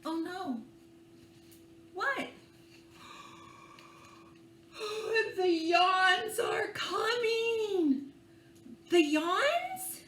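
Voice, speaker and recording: A woman voicing an excitable storybook child in a melodramatic, gasping, drawn-out way. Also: very high voice, voice pushed up, heavily acted. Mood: panicked. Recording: echoey room with faint background noise.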